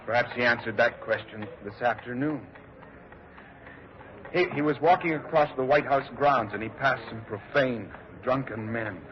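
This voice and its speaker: A man acting a grief-shaken presidential secretary in a vintage radio play. He has a mid-pitched voice and a solemn, halting delivery, on a muffled, faintly noisy recording. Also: close to an everyday voice. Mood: grave, haunted. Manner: halting, solemn, repeats words.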